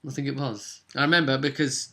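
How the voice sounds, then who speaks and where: A man's voice: mid-range voice, steady tone, a little fast, vocal fry, pushed and bright. A man working as game master, recapping a tabletop role-playing session in an informal, rambling storytelling way.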